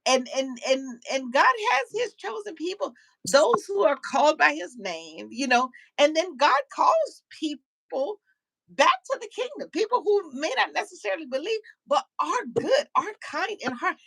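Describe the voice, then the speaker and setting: A woman hosting a casual panel talk show, animated and preachy, often repeating words as she starts a sentence. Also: high voice, normal speed, vocal fry, no echo, rough recording.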